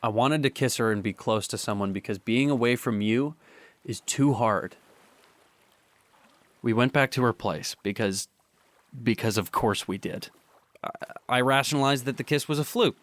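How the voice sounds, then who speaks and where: A man's voice: medium pitch, moderate pace, long pauses, fairly bright tone, natural voice. An actor playing a remorseful young male student in a radio drama, confessing hesitantly with stammers and restarts. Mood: guilty. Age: young adult.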